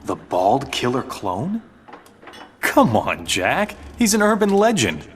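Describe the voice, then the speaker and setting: A man's voice: mid-range voice, huge pitch swings, slow, slight background noise. An actor playing a skeptical, wry male journalist in a video game, with a dry, casual, incredulous manner. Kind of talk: dramatic video game cutscene dialogue. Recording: music behind the voice.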